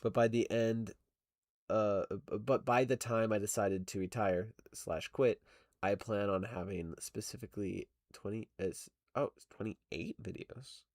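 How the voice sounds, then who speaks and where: A man's voice: fairly low pitch, unhurried, a little mellow, no room sound, clean studio sound. A young man streaming while he animates, chatting casually and rambling with many fillers and self-corrections. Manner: rambling, lots of fillers, laughs often. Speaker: stop-motion animation streamer. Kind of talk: casual livestream chat, reading chat.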